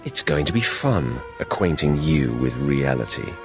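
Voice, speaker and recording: A man's voice: extremely low pitch, big pitch swings, moderate pace, creaky, music in the background, exaggerated voice. A male actor playing a ruthless, sardonic Sith warrior in a video game, with a cold and menacing manner. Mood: cold menace. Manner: cold, menacing, dryly arrogant. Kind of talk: video game dramatic acting.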